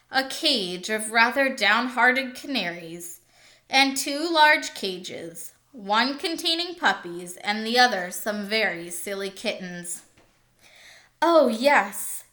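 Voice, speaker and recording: A world-weary, scornful male hamster from a children's storybook, acted out by a woman. He grumbles through his tale at a slow, deliberate pace, in a bright, clear-cut tone. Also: on the high side, heavily acted.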